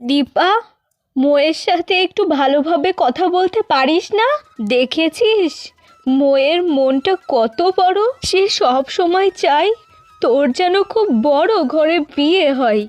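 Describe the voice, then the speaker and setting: A woman's voice: high voice, no room sound. A woman voicing a caring, admonishing middle-aged village mother in a cartoon. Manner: earnest, admonishing. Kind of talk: Bengali cartoon fairy-tale acting.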